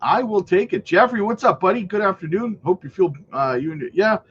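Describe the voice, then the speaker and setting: A man's voice: high voice, fairly quick, fairly bright tone, breathy edge, muffled recording. A man with a stuffy cold hosting a live crypto stream, walking through price charts and greeting viewers in an upbeat way, often tagging "right?" and "man". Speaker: crypto livestream host. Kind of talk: live chart analysis and chat shout-outs.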